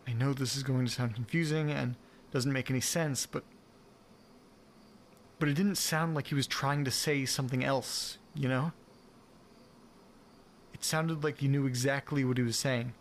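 An actor playing a bewildered, frustrated man in an audio drama, thinking aloud haltingly as he records a confessional diary. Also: mid-range voice, fairly even intonation, slight background noise, close to an everyday voice. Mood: uneasy, puzzled. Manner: halting, brooding, swearing, repeating words. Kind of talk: audio drama monologue, naturalistic.

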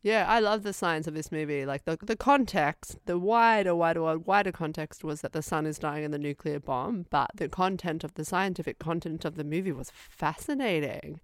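Aussie woman podcasting about a movie, keen, lowish voice, dry sound. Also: moderate pace, hardly any pauses, no room sound. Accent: Australian. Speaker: podcast co-host. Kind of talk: casual film review chat.

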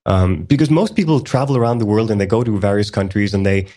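A man co-hosting a casual podcast, explaining at length in a relaxed way with many ums and restarts. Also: on the low side, fast. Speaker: podcast co-host.

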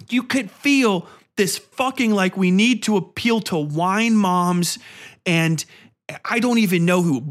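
A man's voice: high voice, a bit bright. A man hosting a podcast, ranting casually in a sardonic, exasperated, heavily profane way. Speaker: podcast host. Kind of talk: casual opinionated rant.

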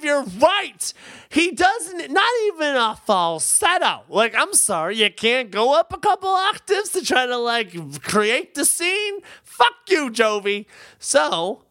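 Female podcast co-host, emphatic and opinionated, lively intonation, leisurely delivery, swears casually. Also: sits high, slow, no room sound, clean studio sound. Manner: sweary, mock-outraged, emphatic.

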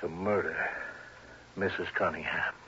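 An actor playing an earnest, worried male family doctor in an old-time radio drama, explaining things in a deliberate, insistent way. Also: deep voice, steady tone, sharp tone, slight background noise. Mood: urgent conviction. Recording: muffled vintage radio recording.

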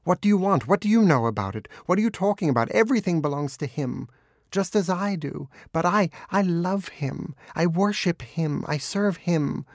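A man's voice: fairly high pitch, expressive, moderate pace, dry recording, studio quality, voice pushed up. A man voicing a frenzied, fanatically devoted dog in a storybook reading, with raging, exclamatory delivery. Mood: exultant devotion.

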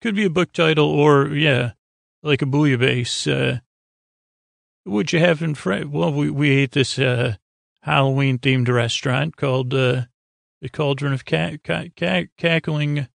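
A man with a gravelly voice hosting a bedtime podcast, meandering haltingly and stumbling over his words as he wanders off on tangents. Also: a little high, no room sound. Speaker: podcast host. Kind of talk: rambling bedtime podcast monologue.

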